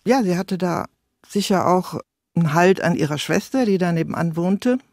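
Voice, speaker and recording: Higher-voiced man explaining in interview, dry sound. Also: lively intonation, normal speed, vocal fry, darkish tone, dry recording. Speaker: expert interviewee. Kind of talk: spontaneous explanatory interview talk.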